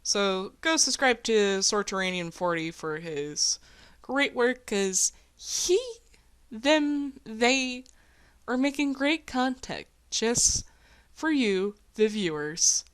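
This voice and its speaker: A woman's voice: fairly high pitch, lively intonation, slow, fairly bright tone, clean tone. A woman from the United States chatting casually as a video host, informal and encouraging. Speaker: video host, amateur voice actor. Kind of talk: casual chat.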